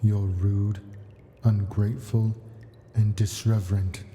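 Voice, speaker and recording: A man plays an ancient male dragon in an ASMR roleplay, possessive and doting. The voice is low and very mellow, murmuring at an unhurried, deliberate pace. It sometimes sinks into a whisper. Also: little pitch movement, slight background noise. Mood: stern, menacing. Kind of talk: ASMR roleplay, slow intimate character acting.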